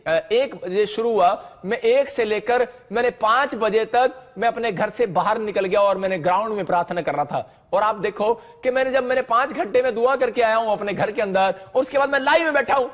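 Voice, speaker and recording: Very high-pitched male preacher. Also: brisk, few pauses. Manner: emphatic, repetitive exhorting delivery. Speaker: pastor preaching.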